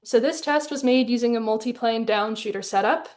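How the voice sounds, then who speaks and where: A woman from Hong Kong with a somewhat high voice presents her work as an artist in an online talk. Her delivery is thoughtful and articulate, with carefully measured phrasing that sounds partly read from prepared notes. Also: darkish tone. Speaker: artist in residence presenting her animated film. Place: live online webinar. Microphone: video call.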